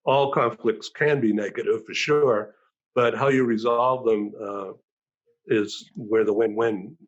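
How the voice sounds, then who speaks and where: A man hosting a talk show over a video call, thanking guests and announcing upcoming shows, talking haltingly with many fillers. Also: on the low side, fairly even intonation, dull audio.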